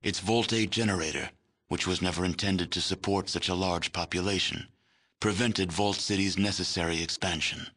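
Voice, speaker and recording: An actor playing a grave, world-weary narrator of a post-apocalyptic game chronicle, with solemn, measured delivery. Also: male voice, low voice, fairly even intonation, fairly bright tone. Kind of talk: scripted dramatic narration.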